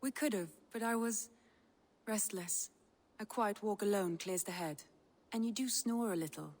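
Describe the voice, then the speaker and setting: A woman voicing a dignified, tender Viking noblewoman in a video game, speaking formally and earnestly, with some halting. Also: medium pitch, darkish tone, close to an everyday voice. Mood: warm, composed. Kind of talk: dramatic video game dialogue.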